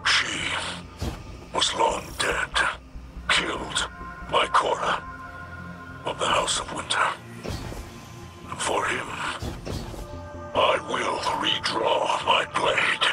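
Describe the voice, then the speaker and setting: An actor playing a brooding, haunted alien warrior captain in a video game, solemn and formal with a gravelly, breathy rasp. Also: male voice, music in the background. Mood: sorrowful. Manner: solemn, formal, halting delivery. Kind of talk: dramatic video game acting.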